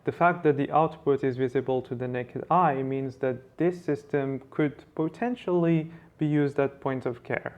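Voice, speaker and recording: Calm man explaining science, flat tone. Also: mid-range voice, muffled recording. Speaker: vlog host. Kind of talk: explanatory vlog narration.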